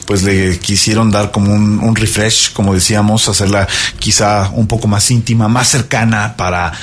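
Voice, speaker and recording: A man's voice: on the low side, steady tone, moderate pace. A man with a Mexican accent hosting a radio interview, with friendly, playful banter. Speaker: radio host.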